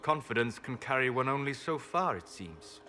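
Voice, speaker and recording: A man voicing a scholarly male mage who speaks in a measured, dry, quietly wry way. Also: mid-range voice, big pitch swings, a little hiss or hum.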